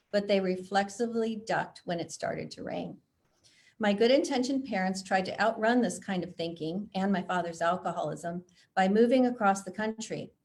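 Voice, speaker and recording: A middle-aged woman from Chicago, an author being interviewed, chatting with frequent self-deprecating laughs and reading from her book. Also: medium pitch. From Chicago, USA. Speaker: author guest.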